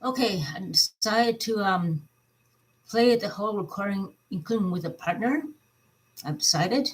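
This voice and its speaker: A woman giving informal opinion commentary as a vlog host, speaking in a halting but emphatic way. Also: medium pitch, animated, poor audio.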